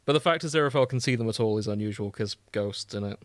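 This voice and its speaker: A man's voice: on the low side, quick pace, no echo. Casual man podcaster, close dry sound. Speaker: podcast co-host. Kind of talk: casual chat, reading and riffing on fan fiction.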